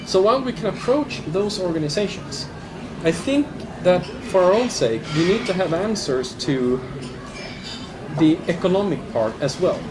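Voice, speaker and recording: A man's voice: sits high, lively intonation, normal speed, background noise. A man with a Swedish accent, speaking hesitantly with many restarts in a live panel discussion. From southern Sweden. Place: large public hall.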